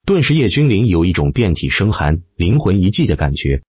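Husky, deep-voiced male audiobook narrator. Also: sing-song, a little fast, a little mellow, dry recording, dull audio. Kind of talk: dramatic scripted narration of a fantasy web novel.

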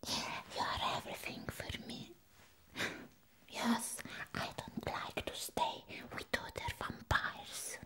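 Woman as a sweet whispering vampire, very slow. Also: lightly acted. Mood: adoring. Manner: tender, breathy, slow affectionate murmuring with long pauses. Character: sweet, doting, possessive female vampire best friend. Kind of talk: whispered ASMR personal-attention roleplay.

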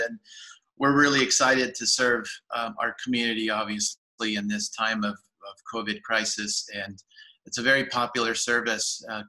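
A man in his fifties speaks from a home room over a laptop webcam. His voice sits low and fairly flat, with a bright, forward edge. He rambles eagerly, full of fillers and restarts. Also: poor audio. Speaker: farmers market general manager. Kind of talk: casual video-call interview. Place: home room with shelves, indoors. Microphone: laptop webcam on video call.

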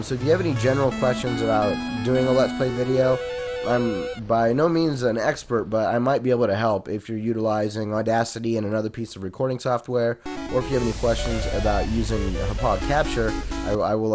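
A man with a slightly low voice walks through a tutorial in an easygoing, filler-heavy way, speaking into a condenser mic at home. Game music plays loudly underneath him the whole time. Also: a little fast. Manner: relaxed, lots of uhs and ums. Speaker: Let's Play creator. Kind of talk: casual software tutorial and game commentary. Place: home gaming setup with stereo speakers. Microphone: USB condenser mic. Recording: voice over loud game music.

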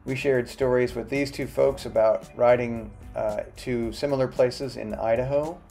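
A man narrating his motorcycle travel vlog in a relaxed, chatty voice-over. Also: mid-range voice, normal speed, creaky, music in the background.

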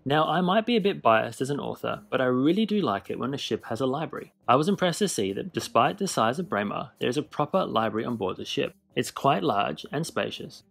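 A man narrating a scripted cruise ship tour in a measured, informative way. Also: medium pitch, animated, vocal fry, a bit bright. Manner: measured, informative, list-style delivery.

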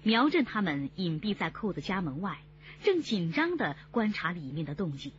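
A woman's voice: fairly low pitch, sing-song, normal speed, dull audio. A woman narrating a radio crime drama in a serious, scripted style. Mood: suspenseful.

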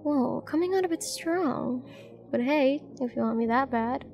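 Woman acting a high-voiced, creaky flirty mercenary. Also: measured pace, a little mellow, faint music behind. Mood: teasing, flirtatious. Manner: teasing, flirtatious, commanding. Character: flirty, confident, dangerous woman mercenary at a nightclub. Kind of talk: scripted roleplay audio drama, addressing the listener.

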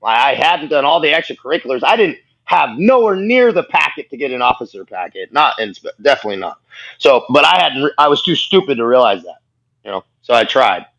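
A man's voice: medium pitch, sing-song, brisk, gravelly, fairly bright tone. A man in his thirties, a guest on a video call, telling his story bluntly and laughing at himself. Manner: blunt, self-mocking, laughs often. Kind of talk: candid storytelling interview. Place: living room at home. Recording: dull lo-fi video call audio.

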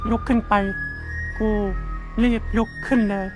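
A woman voicing a boastful schoolkid in a cartoon, telling a scary story slowly and suspensefully, in halting, drawn-out phrases. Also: darkish tone, lightly acted. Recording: music behind the voice. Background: clear background music.

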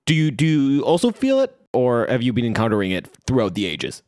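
A man with an Israeli accent co-hosting a podcast, asking long, thoughtful questions with halting restarts. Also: mid-range voice, huge pitch swings, a little mellow, dry recording, clean studio sound. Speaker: podcast co-host. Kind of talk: casual interview chat.

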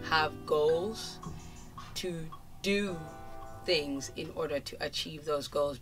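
A young woman from Zimbabwe, a musician, giving relaxed, thoughtful interview answers in a casual way, recorded indoors in a studio. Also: sits low, rough recording. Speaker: musician guest. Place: indoor studio with clothing racks.

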